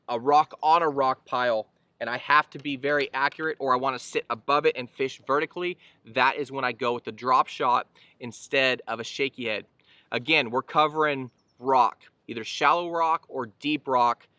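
Casting note: thirties male angler-host, bright crisp delivery, casual explainer, recorded outdoors on a boat. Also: mid-range voice, normal speed, dry recording. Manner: easygoing, explanatory, frequent fillers and restarts. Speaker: fishing channel host. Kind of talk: casual instructional talk to camera.

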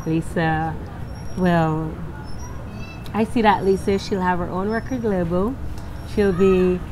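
A young woman with a Guyanese accent answers in an assured, emphatic way, her voice sitting on the low side for a woman. She is recorded outdoors on a terrace, with clear background noise under her voice. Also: slow. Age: young adult. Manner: confident, laughs often, speaks with emphasis. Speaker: singer and interview guest. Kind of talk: casual interview answers. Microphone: handheld mic held by the interviewer.

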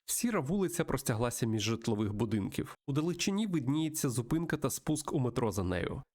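A man reading a visual novel aloud as a let's play host, in an expressive storytelling manner. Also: mid-range voice, fairly quick. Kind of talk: narrated visual novel playthrough reading with asides.

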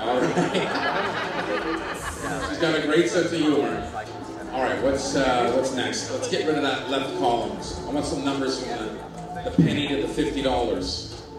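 A man hosting a game show on a cruise ship stage, animated and jokey as he calls out the amounts. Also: medium pitch, a little slow, pauses often, over a noisy crowd. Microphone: handheld mic, far camera. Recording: echoey hall from far off, loud music.

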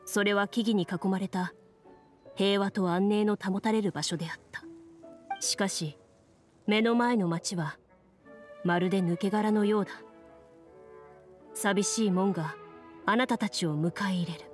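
A woman's voice: medium pitch, lightly acted. A woman playing a composed game master, narrating solemnly and formally in an anime game. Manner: measured, formal. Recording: music behind the voice.

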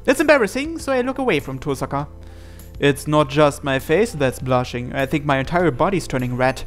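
The kind of higher male voice you would hear on a gaming channel, reading a story game aloud over music. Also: animated, a little fast. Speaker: reader narrating a visual novel playthrough.

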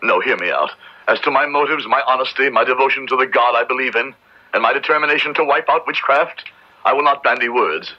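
A man's voice: mid-range voice, brisk, lightly acted. A man playing a grave, devout Puritan judge in a radio drama, speaking in formal, solemn oratory. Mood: grave, firm. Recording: dull, band-limited old radio recording.

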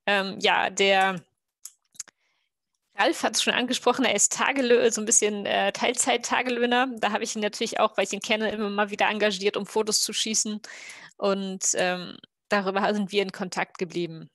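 A woman's voice: mid-range voice, steady tone, normal speed, a bit bright, dry recording, clean studio sound. A woman talking casually as a player in an online tabletop role-playing game. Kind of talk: casual introduction of a game character. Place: indoor room at home, online video call.

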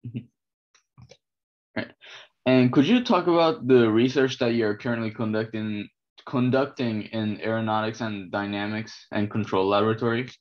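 A man's voice: fairly low pitch, fairly even intonation, moderate pace. A young man hosting an interview, reading his questions plainly over a video call. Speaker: interviewer, host. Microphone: laptop webcam video call. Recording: dull lo-fi webcam audio.

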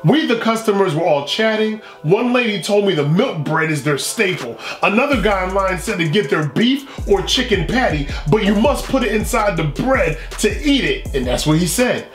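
A man's voice: sits high, expressive, normal speed, few pauses, faint music behind. A middle-aged man hosting a food vlog, reading reviews aloud with playful, emphatic commentary and repetition. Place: small furnished room indoors. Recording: echoey indoor room.

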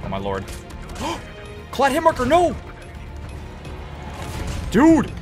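A young man giving excited gameplay commentary from his home setup on a headset, his voice cracking and hoarse as he swings between mutters and shouts. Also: very high voice, extremely slow, faint music behind. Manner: hyped, exclamatory, jumps between mutters and shouts. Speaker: gaming YouTuber. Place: home gaming setup, indoors.

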